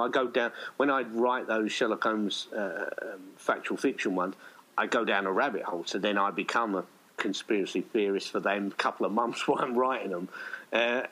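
A man's voice: on the low side, fairly bright tone, poor audio. A man with a British accent talking casually in a rambling, chatty way, as a writer of audio plays. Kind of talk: casual conversation.

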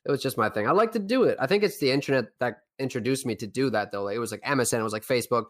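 A young man from Newfoundland, Canada, with a Newfoundland accent, co-hosting a casual podcast chat and telling rambling, animated stories. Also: mid-range voice, very fast. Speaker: podcast co-host.

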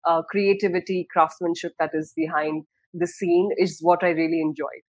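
A woman in her thirties from Dubai talks about her career on a podcast. Her speech is relaxed and reflective, full of small pauses. The recording is dry and close, with no room sound. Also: on the low side, no room sound. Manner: thoughtful, many fillers and restarts. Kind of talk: casual interview talk.

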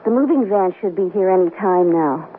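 An actress playing a young, scatterbrained secretary, eager and easily flustered, in a radio comedy drama. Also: female voice, lowish voice, animated, a little fast, natural voice. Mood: flustered, apologetic. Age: young adult. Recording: tinny, noisy old radio broadcast recording.

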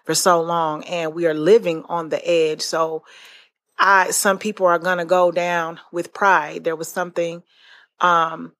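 Earnest American woman with a lowish voice. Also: level tone, unhurried, a bit bright. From United States. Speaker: podcast host. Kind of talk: conversational podcast discussion.

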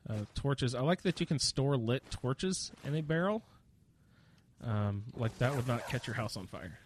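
A man chats his way through a video game in a loose, rambling way. He jokes at his own expense and sounds slightly sniffly from a cold, with a medium-pitched voice. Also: lively intonation, measured pace, slightly rough. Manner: rambling, self-deprecating, jokey. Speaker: gamer narrating own playthrough. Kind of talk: casual gameplay commentary.

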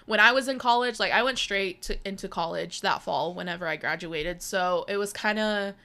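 A woman's voice: medium pitch, moderate pace, sharp tone. A woman in her twenties, chatting casually as a podcast co-host, full of "like" and "bro" and cheerful swearing. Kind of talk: casual chat with a friend.

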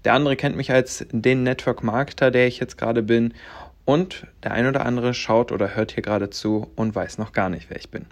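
A man's voice: mid-range voice, fairly even intonation, fairly quick. A man in his twenties hosting a personal podcast, talking earnestly and rambling with many fillers. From Germany, raised in Latvia. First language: German and Russian, bilingual. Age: 20s. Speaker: podcast host.

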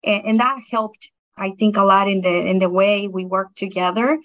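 The recording is a little muffled and lo-fi. A middle-aged woman speaks English as a second language, with a non-native accent. She talks in an earnest, appreciative way, like a guest reflecting in an interview. Her pitch sits in an ordinary female range. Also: steady tone, moderate pace. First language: not English. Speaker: interview guest, produce industry science manager.

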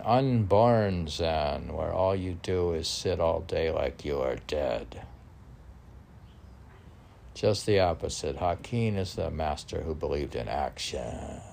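A man's voice: sits low, creaky, darkish tone, slight background noise. A man reading his own poetry informally, musing aloud and chatting to himself between lines in an unpolished way. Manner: musing, talks to himself, asks and answers own questions. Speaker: poet reading own work.

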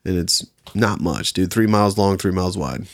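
A man's voice: sits low, expressive, normal speed, vocal fry, no echo. A man in his thirties from Virginia, a podcast guest talking casually, laid-back, laughing often and swearing freely. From Virginia, USA. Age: 30s. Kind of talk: casual chat.

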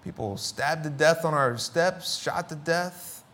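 A middle-aged man with a Philadelphia accent preaching a sermon in a fairly high voice. He swings from forceful declarations down to near whispers. He acts out both sides of conversations when he tells stories. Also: takes it slowly. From Philadelphia inner city. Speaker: pastor.

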